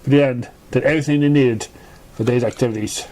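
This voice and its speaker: A man reading a story aloud as narration, halting and often stumbling over the words. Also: mid-range voice, steady tone, mellow. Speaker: amateur fan fiction reader.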